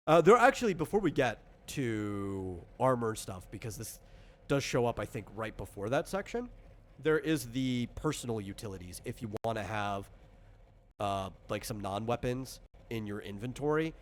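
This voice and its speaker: A man's voice: medium pitch, expressive, normal speed. A man in the role of game master, casually explaining tabletop game rules in a rambling, conversational way with lots of asides.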